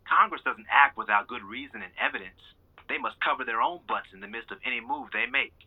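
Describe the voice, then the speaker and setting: A man's voice: medium pitch, lively intonation, fairly quick, slightly rough. A man playing a blunt confidant who warns his friend, in an audio drama with a dull sound. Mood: urgent, blunt.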